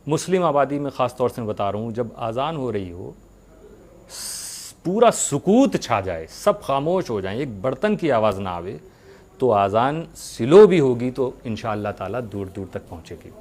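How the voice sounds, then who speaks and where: A man giving a religious sermon, speaking earnestly to his followers with rhetorical questions and deliberate pauses. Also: medium pitch, big pitch swings, a little mellow.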